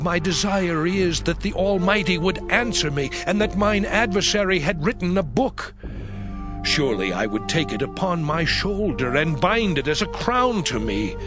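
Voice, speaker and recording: A man playing Job, high and pleading. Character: Job, suffering righteous man pleading his case. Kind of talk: dramatized scripture reading. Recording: music bed behind voice.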